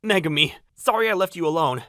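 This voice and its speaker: A woman voicing an earnest, protective young male baker in a manga dub, sincere and steady. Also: deep voice, fast, dry recording, clean studio sound. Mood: tender, earnest. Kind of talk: manga dub dialogue and narration.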